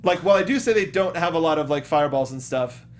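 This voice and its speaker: A man hosting a casual chat show, recapping a film as an animated storyteller who acts out reactions. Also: fairly high pitch, fast, slight background noise. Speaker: podcast host.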